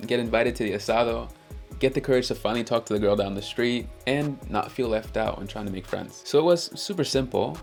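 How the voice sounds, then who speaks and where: Young guy from haiti, creole first language, earnest upbeat storytelling vlog, kinda low and gravelly. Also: darkish tone. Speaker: language-learning YouTuber. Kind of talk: scripted storytelling narration.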